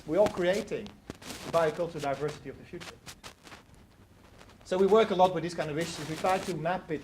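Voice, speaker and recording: A middle-aged man lectures at a quick clip, earnest and prone to restarting words mid-thought. His voice sits a little high for a man. The recording carries clear background noise from the hall. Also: a little mellow. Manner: earnest, repeats words while searching for phrasing. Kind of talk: academic lecture and Q&A answers.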